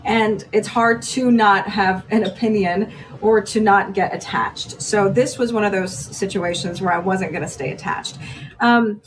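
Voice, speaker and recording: A woman's voice: mid-range voice, a little slow, distant mic, a little hiss or hum, low-quality recording. A woman in her thirties, born in Iran, speaking earnestly and with strong feeling as a podcast guest in a studio. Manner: earnest, emotional, gestures as she talks. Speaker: TV news anchor, guest. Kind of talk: candid podcast conversation. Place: podcast studio with decorated shelves. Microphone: large studio mic on boom.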